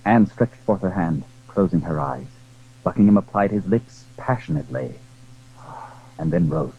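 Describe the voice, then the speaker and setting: A man's voice: sits low, animated, normal speed, slightly rough. A man narrating a literary drama in a formal, scripted storytelling style. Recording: very muffled, lo-fi old recording.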